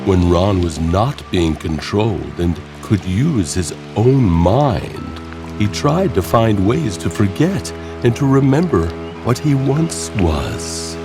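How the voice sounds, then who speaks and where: A man narrating scripted horror tales as host, in a theatrical, wry manner with drawn-out phrasing. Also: fairly low pitch, expressive. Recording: music under the voice.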